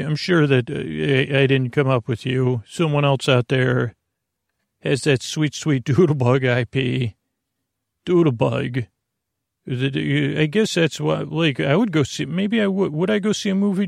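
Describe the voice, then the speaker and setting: A man hosting a podcast in a meandering, halting monologue, often trailing off mid-thought with a creaky, gravelly voice. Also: on the high side, no echo, clean studio sound. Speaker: podcast host.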